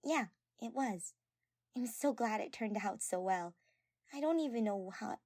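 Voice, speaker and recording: Woman voicing a shy, soft-spoken, higher-pitched girl. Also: animated, unhurried, dry recording. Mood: shy, grateful. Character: shy, soft-spoken, earnest young girl who loves magic.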